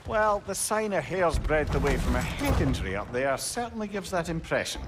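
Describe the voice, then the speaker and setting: An actor playing a wry, learned old severed-head advisor in a video game, articulate and wordy. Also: male voice, a little high, sing-song, brisk. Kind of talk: dramatic video game acting. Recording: game music under the voice.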